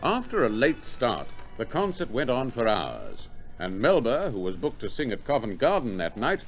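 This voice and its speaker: A man's voice: medium pitch, wildly swooping pitch, normal speed. A man narrating an old radio drama in a scripted, formal, dramatic announcer's manner. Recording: muffled old radio recording over music.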